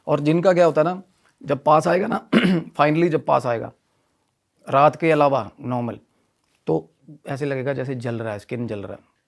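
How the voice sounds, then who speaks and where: A man telling a story in animated conversational style, often repeating himself and mixing in English phrases. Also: medium pitch, moderate pace, gravelly. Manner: repetitive, mixes Hindi with English phrases. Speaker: podcast guest telling a ghost story.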